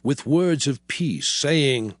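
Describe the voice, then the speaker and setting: An actor playing a solemn prophet leader in a dramatized scripture reading, speaking in an emphatic, declamatory, deliberate way. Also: male voice, medium pitch, no echo, lightly acted. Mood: solemn, resolute. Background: music bed.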